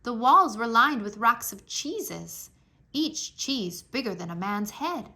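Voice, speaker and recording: A woman with a fairly high voice reads aloud for young listeners as a storybook narrator. Her delivery is scripted and expressive, with clear enunciation and dramatic emphasis on key words. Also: slow. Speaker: narrator reading a children's history book aloud.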